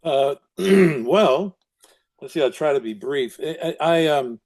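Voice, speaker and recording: A man in his seventies with a New York accent who grew up speaking Italian first, telling his life story as an interview guest in a reflective, unhurried way with frequent restarts. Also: a little high, lively intonation, darkish tone. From Brooklyn, New York. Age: 70s.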